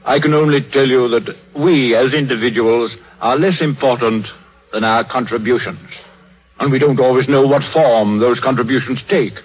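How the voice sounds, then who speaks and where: A man acting as a fatherly old clergyman with a British accent and a husky voice. He speaks in measured, solemn phrases, like gentle preaching, on a dull 1930s radio drama recording. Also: medium pitch, lightly acted. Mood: solemn, philosophical. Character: crippled old minister, gentle, philosophical, fatherly.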